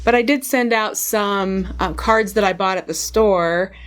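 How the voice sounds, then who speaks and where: A woman with a cold, her voice hoarse, chatting casually to camera about knitting and yarn, with frequent ums. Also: mid-range voice, measured pace. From United States. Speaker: knitting vlogger.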